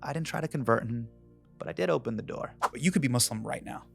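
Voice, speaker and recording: A man in his forties hosting a show, moving between comedic monologue and interview with a quick, playful, punchline-driven delivery. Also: medium pitch, vocal fry, soft background music. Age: 40s. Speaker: host.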